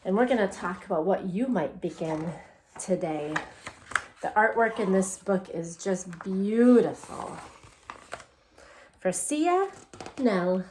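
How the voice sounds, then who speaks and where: A woman teacher reading a picture book aloud to young children, expressively and deliberately, with conversational asides and questions to her listeners. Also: medium pitch, animated, a little mellow, slight background noise. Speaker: elementary English language development teacher.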